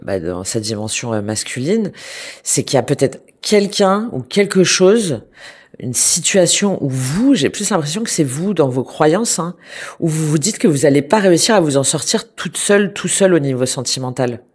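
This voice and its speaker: A woman's voice: deep voice, expressive, moderate pace, breathy edge. Hesitant woman with a cold reading tarot. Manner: hesitant, many fillers and restarts, gentle and reassuring. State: has a cold, congested. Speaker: tarot reader. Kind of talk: spoken tarot reading to viewers.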